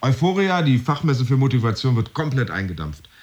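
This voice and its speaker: A man's voice: medium pitch, very animated, fairly quick, darkish tone, slight background noise, lightly acted. An actor playing a brooding, wordy office worker in a radio drama, telling his tale in a confiding, wry, literary manner. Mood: wry, tense. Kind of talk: modern German radio drama monologue.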